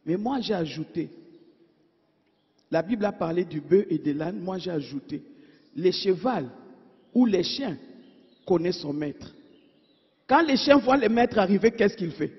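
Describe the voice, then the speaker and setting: A woman preacher delivering a sermon, asking her congregation rhetorical questions and swinging between emphatic and hushed delivery. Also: on the low side, expressive, a little slow, pauses often, slightly rough. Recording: dull sound in a reverberant church hall.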